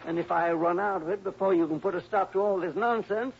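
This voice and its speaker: A man's voice: high voice, fast, vocal fry. An actor playing a cantankerous, miserly and suspicious old rich man in a 1960s radio drama, blustering and irritable. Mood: crabby, insistent. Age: older. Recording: dull, noisy old radio recording.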